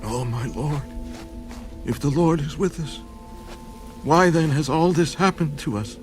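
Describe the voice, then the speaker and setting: A man's voice: a little high, measured pace, music in the background, lightly acted. A man playing a humble, doubting young Israelite farmer in a dramatized scripture audio drama, earnest and pleading in formal phrasing. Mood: troubled, questioning.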